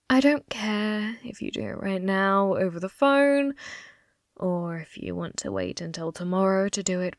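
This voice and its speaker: A woman's voice: mid-range voice, gravelly, no room sound, studio quality. A woman playing a possessive young yandere in an ASMR roleplay, teasing and coolly threatening, often dropping into a whisper.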